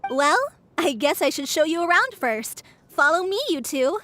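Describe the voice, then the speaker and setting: A woman's voice: high voice, expressive, moderate pace, a bit bright, a little hiss or hum. A woman voicing an earnest, anxious young woman who rescues animals, speaking politely and eagerly as a game character. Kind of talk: English game dub voice acting.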